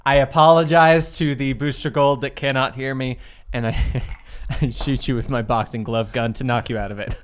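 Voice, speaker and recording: A man's voice: medium pitch, fairly even intonation, moderate pace, slight background noise. A man playing a tabletop game, chatting casually with wry, joking banter.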